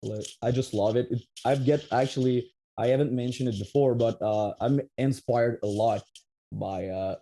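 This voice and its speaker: A man in his thirties from Quebec, speaking English with a French accent, answers interview questions in a loose, rambling way. His voice is very dark and mellow, sitting at a middling pitch. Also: steady tone, moderate pace. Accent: Québécois French. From Quebec, Canada. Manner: rambling, many restarts and fillers. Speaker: metal band musician, guest.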